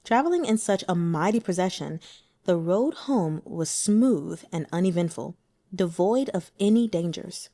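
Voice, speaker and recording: Female reader for fiction narration; very animated pitch movement, relaxed and mellow timbre. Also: a little slow. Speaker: audiobook reader. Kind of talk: scripted narration of a novel.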